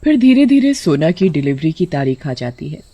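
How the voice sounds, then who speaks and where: A woman's voice: low voice, very animated, moderate pace, warm tone, husky, lo-fi sound. A woman storyteller reading scripted narration for a horror tale.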